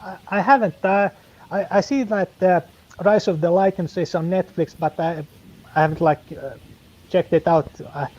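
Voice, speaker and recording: A man whose first language is Finnish chats as a guest on a stream. He speaks haltingly, filling the gaps as he hunts for words, in a slightly high voice. The sound comes through dull and lo-fi. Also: darkish tone. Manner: halting, full of fillers and restarts while searching for words. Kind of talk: casual live-stream chat.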